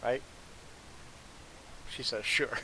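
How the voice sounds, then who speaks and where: A man with a mid-pitched voice chats casually as a live streamer. He sounds a little sniffly and rambles along in a conversational way. A faint hiss sits behind his voice. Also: drawn-out words, poor audio. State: sniffly nose from congestion. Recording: steady background hiss.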